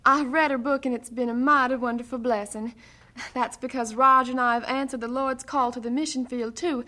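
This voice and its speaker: A woman's voice: sits high, steady tone, fairly quick, sharp tone. A woman playing a chatty, effusive seminary wife introducing a guest in an old-fashioned radio drama, with a sugary manner. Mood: gushing, self-important.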